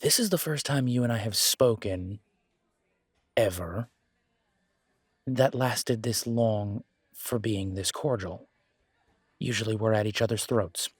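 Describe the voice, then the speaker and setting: Guy voicing sardonic werewolf mechanic in audio drama, kinda low husky voice, wary and measured. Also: no echo, studio quality. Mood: incredulous. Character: wary, sardonic male werewolf mechanic, blunt but polite. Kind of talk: audio roleplay drama dialogue.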